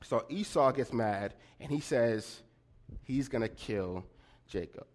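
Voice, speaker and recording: Man, mid-range voice, slightly nasal, animated sermon storyteller. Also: slow. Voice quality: slightly nasal and deeper than usual. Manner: animated storyteller with frequent "right?" check-ins. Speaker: preacher.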